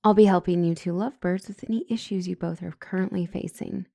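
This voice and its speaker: A woman's voice: on the low side, steady tone, fast talker, vocal fry, dark tone, no echo, studio quality. A woman playing a flustered, smitten couples counselor who stumbles and corrects herself in a comedic audio roleplay. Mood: weary, professional. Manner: stammering, awkwardly self-correcting. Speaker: doctor and counselor.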